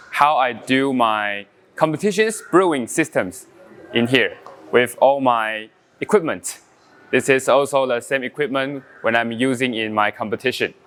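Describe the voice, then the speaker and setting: Taiwanese barista, mid-pitched and clean-toned, relaxed demo delivery with pauses and fillers. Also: male voice, clean tone. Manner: halting non-native English with frequent fillers, explaining step by step. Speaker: coffee brewing champion. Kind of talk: casual brewing demonstration.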